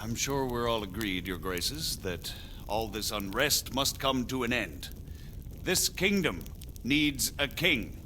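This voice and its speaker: A medieval margrave in a game drama, formal and deliberate. The voice is bright and forceful at a middle pitch, measured as a statesman's, over faint background noise. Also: animated. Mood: grave, earnest. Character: measured, diplomatic medieval margrave pleading for order. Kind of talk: dramatic video game acting.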